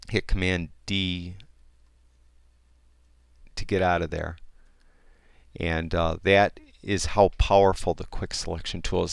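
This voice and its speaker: Gravelly low-voiced man teaching software. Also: dry recording, studio quality. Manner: rambling, many fillers and restarts. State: losing his voice. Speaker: software tutorial instructor. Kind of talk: casual screen-recorded tutorial.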